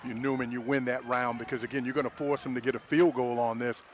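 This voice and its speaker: Fast-talking American male football analyst. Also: mid-range voice, flat delivery, a little hiss or hum. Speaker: color analyst. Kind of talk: live football game analysis.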